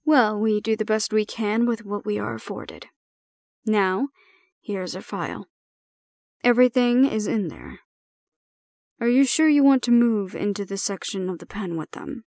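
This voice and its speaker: Woman acting a measured, formal female supervisor. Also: medium pitch, expressive, darkish tone, dry recording, studio quality. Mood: composed, reassuring. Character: calm, formal female supervisor handing over a hybrid prisoner. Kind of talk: audio roleplay acting.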